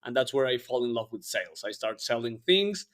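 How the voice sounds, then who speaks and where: Man in his thirties, guest on a podcast, chatty and rambling, mid-pitched. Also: very animated, brisk, a bit bright. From Argentina. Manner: rambling, frequent fillers and restarts. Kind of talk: casual interview chat.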